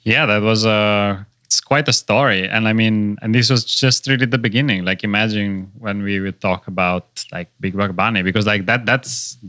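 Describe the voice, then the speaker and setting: A man's voice: on the low side, steady tone, moderate pace, few pauses, bright, forceful tone, dry recording. A man hosting a podcast in easygoing casual chat. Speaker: podcast host.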